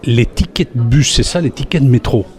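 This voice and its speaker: A male radio host with a mellow, mid-pitched voice runs a friendly local interview. He talks chattily and stumbles over his words, often restarting mid-phrase. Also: fairly quick. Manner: repeats intro lines, stumbling restarts, chatty.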